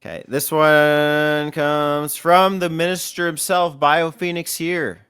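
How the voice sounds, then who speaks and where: A man's voice: a little high, little pitch movement, slow pace, a bit bright, clear, resonant tone. A man hosting a gaming podcast, reading listener questions in an easygoing, casual way. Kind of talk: casual chat.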